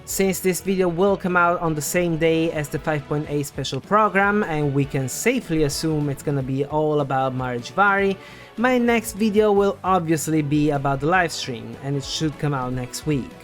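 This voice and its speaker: A man with a somewhat high-pitched voice delivers scripted game theory commentary. He explains things in a conversational way, like a video essay host. Faint music plays behind his voice. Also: normal speed, fairly bright tone. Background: background music.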